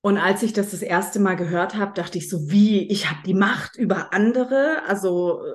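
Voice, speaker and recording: A woman sharing her view in a group discussion in a relaxed, reflective way. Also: mid-range voice, moderate pace. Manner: relaxed and reflective, quoting her own thoughts. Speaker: participant.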